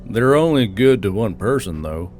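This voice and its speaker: A man's voice: lowish voice, sing-song, moderate pace, a little mellow, faint music behind, voice pushed down. A man voicing an easygoing, offhand human man with a muttering delivery in an audiobook. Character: easygoing, casual human man, an office boss named Yul. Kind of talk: audiobook character dialogue.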